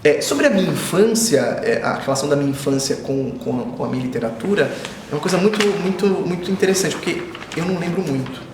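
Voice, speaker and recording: A man in his forties, born in Israel and raised in Brazil, speaking as an author in an interview, reflective and often repeating himself, growing animated when he tells stories. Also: a little high, quick pace, roomy sound, noisy background. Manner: thoughtful, circling back and repeating phrases, animated when telling stories. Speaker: children's author, interview guest.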